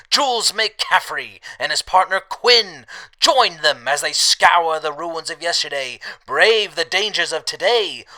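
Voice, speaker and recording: A man playing a grandiose announcer narrating a post-apocalyptic adventure serial in a booming, dramatic delivery. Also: sharp tone, smooth, heavily acted, poor audio.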